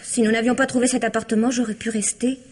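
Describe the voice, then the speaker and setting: A polite young maid in a vintage radio drama. Her voice is fairly high and slightly husky. She addresses her mistress with deference. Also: level tone, fast talker, a little mellow. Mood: deferential.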